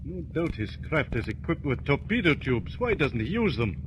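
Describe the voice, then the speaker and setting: An actor playing an earnest, curious detective sidekick with a questioning manner in a 1940s radio drama. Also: male voice, a little high, big pitch swings, moderate pace. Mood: puzzled. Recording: very noisy old radio recording.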